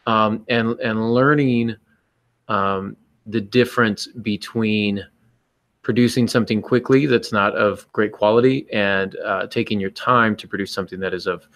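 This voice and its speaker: A man's voice: on the low side, fairly even intonation, unhurried, a bit bright. A man hosting a casual podcast chat in a joking, bantering way. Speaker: podcast host.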